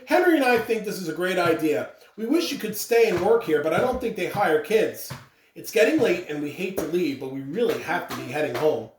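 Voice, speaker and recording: A person reading a children's storybook aloud with expressive, animated delivery. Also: medium pitch, fairly quick. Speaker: school staff member reading aloud. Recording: roomy, distant mic with clear background noise.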